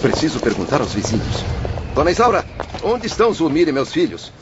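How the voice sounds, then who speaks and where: A man's voice: fairly high pitch, big pitch swings, brisk, noisy background. A man playing the distraught, indignant spirit of a dead merchant father in an audiobook drama, pleading and melodramatic. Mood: desperate pleading. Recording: dull lo-fi audio under loud music.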